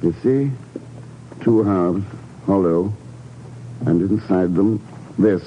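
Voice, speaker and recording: An actor playing a shrewd, unflappable secret agent with a dry, terse manner in a 1950s radio drama. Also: male voice, sits low, slow pace. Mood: dry, probing. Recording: muffled old radio recording, faint noise.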